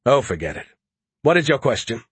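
A man's voice: mid-range voice, sing-song, fairly quick, slightly rough, dry recording. A man acts out a smug male artificial intelligence in a sci-fi audiobook. He is pompous and theatrical, mocking whoever he talks to with heavy sarcasm. The sound is dry and close. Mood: exasperated, sneering.

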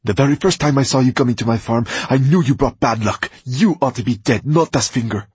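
A man's voice: mid-range voice, a little fast, darkish tone, slightly rough, no echo, pitched above the performer's own voice. A man voicing a fierce grieving woman who speaks angrily and abruptly. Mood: angry. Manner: angry, abrupt.

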